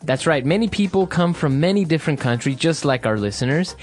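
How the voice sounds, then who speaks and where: Upbeat co-host from Ecuador, faint music. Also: male voice, a little high, animated, brisk. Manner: upbeat, affirming, frequent "that's right". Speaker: podcast co-host, English teacher. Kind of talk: scripted teaching dialogue.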